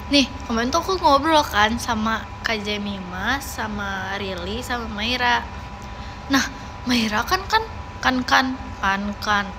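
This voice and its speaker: Young woman chatting, higher voice, noisy background. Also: measured pace. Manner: chatty, playful, repeats words. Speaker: idol livestream host. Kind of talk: casual livestream chat, answering viewers' comments.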